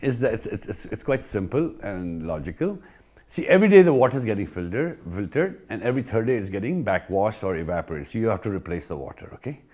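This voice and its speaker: Male trainer, Indian accent, lowish pitch, very lively intonation, informal and emphatic instruction with rhetorical questions. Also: fast talker. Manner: emphatic, repetitive, checks with rhetorical questions and "okay?". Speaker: pool company trainer.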